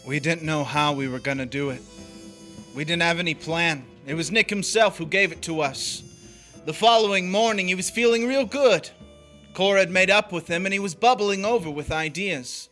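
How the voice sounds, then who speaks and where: A scheming drifter acted by a man in a vintage radio noir, telling his story tersely like a confession. The voice is high and forceful, with some music behind it. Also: normal speed, natural voice. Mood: grim, recounting. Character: Frank, scheming young drifter narrating his crime. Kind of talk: 1940s radio noir narration and acting.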